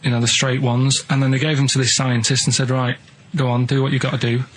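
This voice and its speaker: A man chats casually as a radio co-host. He rambles through odd stories in a matter-of-fact way at a middling pitch, with some noise on the recording. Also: little pitch movement, fast talker, poor audio. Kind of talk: casual chat, telling odd anecdotes.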